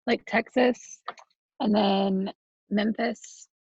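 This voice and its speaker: A woman athlete casually asking a question over muffled, low-fidelity video call audio. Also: steady tone, very slow, darkish tone, husky, dry recording.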